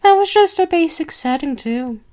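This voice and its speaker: A woman voicing a sadistic, possessive vampire queen in an ASMR roleplay, with a haughty, teasing, drawn-out delivery. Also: sits high, lively intonation, a little mellow. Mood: cruel, commanding.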